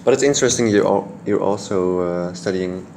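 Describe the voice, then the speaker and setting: A man conducts a casual interview with a fellow student in English. His voice sits fairly low, and he speaks haltingly, searching for words and restarting questions. Faint background noise runs under the recording. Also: darkish tone.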